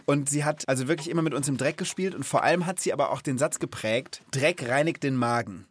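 A man's voice: mid-range voice, fast, slightly rough. Man in his thirties hosting for children. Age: 30s. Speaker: children's podcast host. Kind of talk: conversational storytelling and chat for children.

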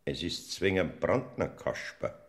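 The stern gatekeeper of heaven, a saint who interrogates with dry Bavarian bluntness in an old radio play. His voice is low yet moves freely in pitch. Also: sing-song, measured pace, exaggerated voice. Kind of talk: classic radio play acting.

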